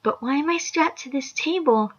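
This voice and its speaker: A woman voicing a timid, gentle pony mare, speaking softly in a high, fearful voice, on a dull lo-fi recording. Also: normal speed, close to an everyday voice. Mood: frightened.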